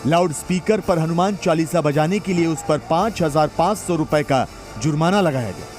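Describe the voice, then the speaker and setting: A man with a high voice narrates a scripted news report. His delivery is dramatic and emphatic, and faint music plays underneath. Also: moderate pace, a little mellow.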